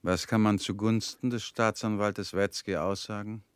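An actor in a radio drama playing a comrade who asks quiet, probing questions. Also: male voice, on the low side, fairly even intonation, moderate pace, smooth, dry recording, clean studio sound, close to an everyday voice.

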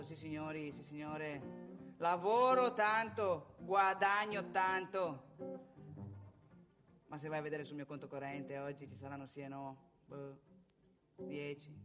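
A woman's voice: low voice, close to an everyday voice. A woman playing a brash, bitter, boastful womanising divorced salesman in a theatrical monologue, with colloquial, crude, volatile delivery and rhetorical questions. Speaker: stage monologue performer. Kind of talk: theatrical monologue addressed to audience.

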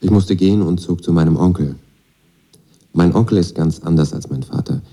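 A very low, dark male voice as a young student who has turned against his military father. He recounts his life in a laconic, matter-of-fact radio monologue, with a mellow, unforced tone. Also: normal speed, breathy edge. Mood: defiant. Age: young adult. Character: disillusioned young Moscow student, pacifist son of army father. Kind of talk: radio drama monologue, first-person testimony.

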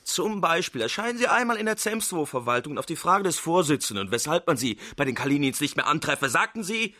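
An analytical country doctor in a radio play, lecturing a friend in formal, pointed phrases. His voice is slightly husky and swings widely in pitch, recorded dry. He is reproachful and exacting. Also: very animated, a little fast, sharp tone, no room sound, full character voice. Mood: indignant reproach. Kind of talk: German radio drama acting.

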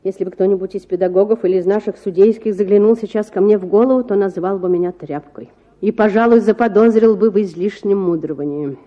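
A woman's voice: mid-range voice, fast talker, lightly acted. A cultured, middle-aged father in a storybook , read by a woman. He muses in a perplexed, self-doubting way, full of rhetorical questions. The recording is slightly dull.

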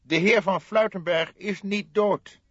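Guy doing a pompous stout notary for an audiobook, raised pitch, hesitant and huffy, kinda muffled sound. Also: steady tone, measured pace, fairly bright tone, husky, dry recording, heavily acted. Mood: flustered, affronted. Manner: hesitant, stammering, pompous formal speech. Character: stout, flustered, indignant male notary. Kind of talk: audiobook character voice.

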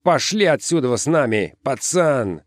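A man voicing a rowdy, foul-mouthed, bullying boy from a gang, shouting crude slang in a story. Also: medium pitch, very animated, measured pace, no echo, studio quality, pitched above the performer's own voice. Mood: jeering, dismissive.

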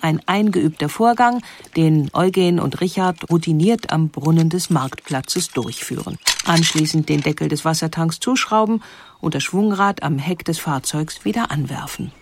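A woman reading scripted documentary narration in a measured, explanatory way. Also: low-pitched, slight background noise.

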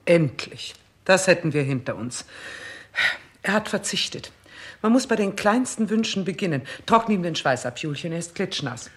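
A woman's voice: on the low side, expressive, moderate pace, breathy edge. An actress playing a coolly commanding, scornful queen in a 1960s German radio play, curt and dryly sarcastic. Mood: firm, commanding. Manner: curt, imperious, dry sarcasm.

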